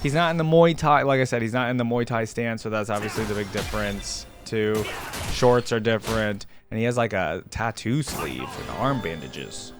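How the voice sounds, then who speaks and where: A man's voice: fairly low pitch, normal speed, music in the background. A man giving casual, informal reaction commentary, rambling along as he talks straight to his viewers. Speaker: reaction video host.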